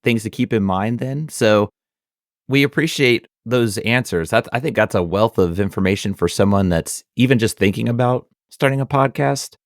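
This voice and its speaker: A man's voice: medium pitch, moderate pace, no room sound, clean studio sound. A man co-hosting a podcast, asking questions in a casual, friendly way with short agreeing murmurs. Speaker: podcast co-host.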